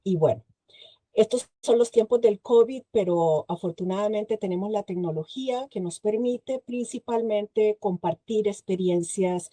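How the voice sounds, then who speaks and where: A woman's voice: mid-range voice, unhurried, warm tone, dry recording. A middle-aged woman with a Costa Rican accent, giving a presentation to a virtual forum, hesitating often with filler sounds. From Chile. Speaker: guest speaker.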